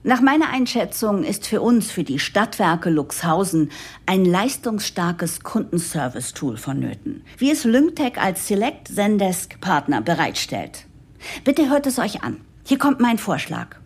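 Earnest, husky office narrator. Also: female voice, mid-range voice, big pitch swings, unhurried, a little mellow, heavily acted. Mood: formal, persuasive. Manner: earnest, formal, diplomatic. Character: earnest, composed woman narrator championing change, jargon-heavy. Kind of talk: first-person audio drama narration.

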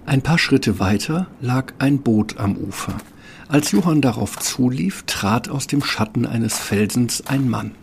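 A man's voice: medium pitch, measured pace, creaky, a little hiss or hum. A man narrating a fairy-tale radio play in a scripted storytelling style.

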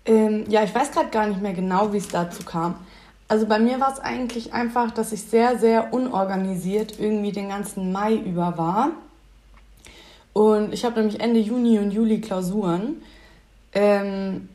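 A young woman student joins a casual podcast chat, her voice mid-pitched and a little rough today. She talks in a playful, teasing way. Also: steady tone, moderate pace, dark tone. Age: 20s. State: slightly rough voice. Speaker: podcast guest, host's sister.

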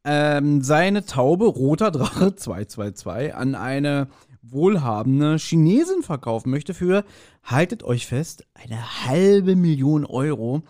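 A man co-hosting a podcast, giving casual, joking and mildly mocking commentary full of halting asides. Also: a little high, very animated, a little mellow. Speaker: podcast co-host. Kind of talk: casual chat, humorous commentary on an audio drama.

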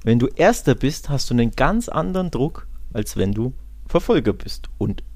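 A man's voice: medium pitch, very animated, moderate pace, darkish tone, no echo. A man co-hosting a football podcast in casual discussion, talking colloquially and emphatically and often rephrasing himself.

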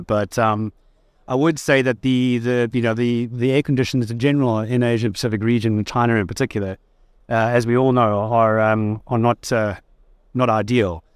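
Smooth-voiced South African man, easygoing. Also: mid-range voice, no echo, clean studio sound. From South Africa (Durban). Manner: easygoing, thoughtful, lightly joking. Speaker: guest. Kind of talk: conversational interview. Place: trade fair stand.

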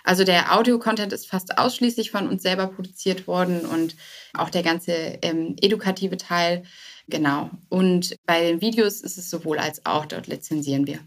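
A woman's voice: fairly low pitch, steady tone, normal speed. A woman answering as a podcast guest, explaining informally and often rephrasing herself as she goes. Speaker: guest, startup co-founder. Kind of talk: conversational podcast interview answers.

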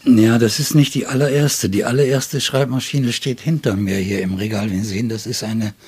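A man in his seventies from northern Germany, an interview guest telling stories in a reflective, deliberate, wry way. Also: medium pitch, steady tone, darkish tone, slightly rough. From Friesland, northern Germany. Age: 70s. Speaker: poet and literary editor, interview guest. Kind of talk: reflective conversational storytelling. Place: indoors, in his home study.

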